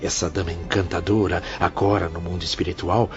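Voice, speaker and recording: A man playing a devout spirit companion, hushed and reverent in an audio drama. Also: low-pitched, level tone, fairly quick, lightly acted. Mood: awed. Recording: muffled lo-fi audio with music.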